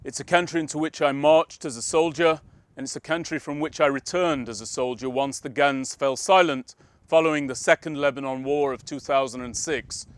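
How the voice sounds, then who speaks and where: A man narrates with measured solemnity at a middling pitch. He then moves into interview questions, steady and deliberate. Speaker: host. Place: outdoors at a border lookout.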